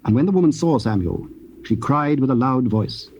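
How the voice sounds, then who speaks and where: Male narrator: formal, solemn scripture reading, soft warm tone, slightly noisy cassette sound. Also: medium pitch, expressive. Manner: measured, formal. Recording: dull, faintly noisy old cassette.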